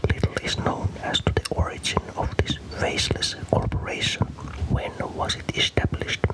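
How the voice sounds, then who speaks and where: Male ASMR narrator, whispered throughout, breathy and unvoiced, measured scripted storytelling. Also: low-quality recording. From Finland. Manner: slow, deliberate storytelling.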